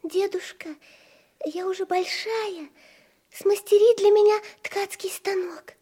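Very high-voiced sweet little girl. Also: fairly even intonation, slow pace, dark tone. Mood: eager, pleading. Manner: tender, childlike, melodious. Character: sweet, eager young girl, beloved granddaughter weaver. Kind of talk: Russian fairy-tale audio play with songs.

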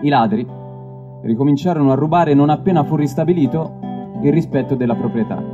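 A man giving a dramatic literary reading, declamatory and deliberate, with weighty pauses. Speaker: stage reader of literary texts. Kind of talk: dramatic literary reading over live music. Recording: dull lo-fi recording, plucked bass music behind. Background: live stick bass music.

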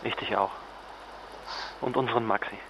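An actor playing a weary, worried journalist husband in a naturalistic radio drama, hesitant and reluctant. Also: male voice, mid-range voice, steady tone, moderate pace, lightly acted. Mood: subdued, tender. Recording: dull lo-fi link, clearly noisy background.

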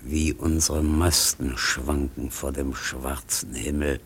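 An actor in a radio drama playing a brooding, defiant seafarer with a gravelly voice and a declamatory manner. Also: male voice, a bass voice, steady tone, slow, slight background noise, exaggerated voice. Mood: grim musing. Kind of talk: German radio drama acting.

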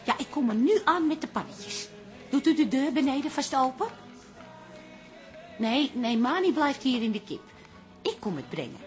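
An actress playing a bossy, scheming, chatty café barkeeper in a radio drama, with brisk, wheedling banter. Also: female voice, high voice, sing-song, faint music behind.

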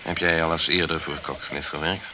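A man's voice: rumbling low, fairly even intonation, moderate pace. A man playing a dogged, probing insurance investigator in a crime radio drama, questioning insistently and coolly persuading. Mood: probing, insinuating. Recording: noisy radio drama recording.

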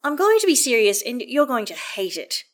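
A woman voicing a blunt, loyal woman who swears freely and speaks earnestly, higher and louder than her narration. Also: big pitch swings, normal speed. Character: warm, blunt, tough-talking woman, fiercely loyal best friend.

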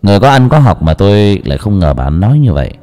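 A man's voice: fairly low pitch, sing-song, fast talker, dark tone, big-room sound. A man voicing a stern, proud village exorcist who speaks curtly. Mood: offended. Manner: curt, stern.

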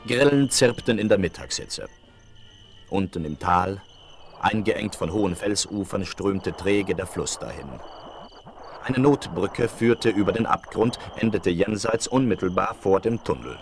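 An actor narrating a Western adventure radio play in a solemn, measured, scene-setting manner. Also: male voice, low voice, animated, soft background music. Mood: solemn, suspenseful. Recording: chirping crickets behind the voice.

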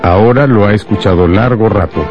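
A man's voice: lowish voice, a little fast, muffled recording. A man narrating a scripted radio drama as storyteller. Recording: loud music and crowd sound behind.

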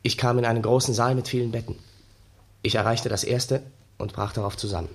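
An actor playing a haunted, bitter young ex-soldier, telling his story wearily and dramatically in a gravelly, husky voice for a 1960s German radio play. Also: medium pitch, fairly even intonation, fairly quick. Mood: haunted recollection.

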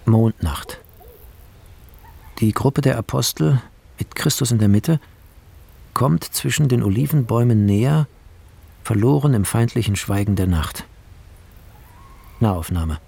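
A man's voice: medium pitch, lively intonation, a little slow, vocal fry, pauses often, warm tone, breathy edge, full character voice. A man reading film scene directions as the narrator of a radio play, in clipped, descriptive phrases. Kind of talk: scripted radio drama narration. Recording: music under the voice. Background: music.

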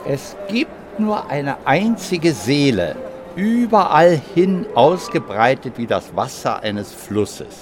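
A mighty ancient heretic sage in a radio drama. He declaims solemnly and very slowly, with a pitch that swells and falls widely, over music. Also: very animated. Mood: solemn, rapt. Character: mighty heretic teacher Origen, solemn visionary preaching on souls. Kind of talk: German radio drama acting.

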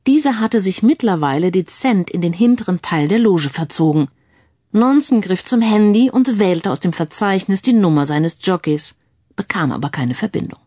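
A woman's voice: on the low side, lively intonation, normal speed, mellow, no echo. Dry-sounding woman narrating a crime story.

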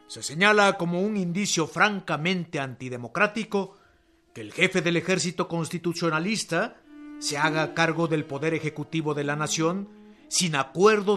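A man's voice: a little high, fairly bright tone. Emphatic man narrating a radio drama. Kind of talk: scripted narration.

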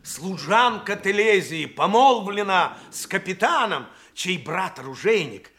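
A man's voice: sits high, lively intonation, measured pace, fairly bright tone. An actor playing a pompous, bombastic male orator, declaiming indignant accusations in theatrical audio drama style. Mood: insinuating, outraged. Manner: pompous, declamatory, rhetorical questions. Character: bombastic male orator haranguing city fathers with scandalous accusations.

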